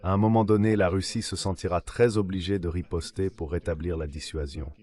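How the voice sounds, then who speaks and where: A man's synthetic voice reading dubbed analytical commentary as an interview guest, in a measured, explanatory way. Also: deep voice, fairly even intonation, dark tone. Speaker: translated voice of an interview guest, an academic.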